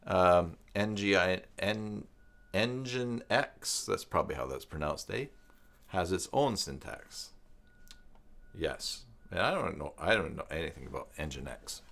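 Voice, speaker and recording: A man hosting an informal screen-share tutorial, thinking aloud and reading out documentation in a halting, self-interrupting way. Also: sits low, sing-song, vocal fry, a bit bright. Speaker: live stream host giving a web tutorial. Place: at a desk by a computer.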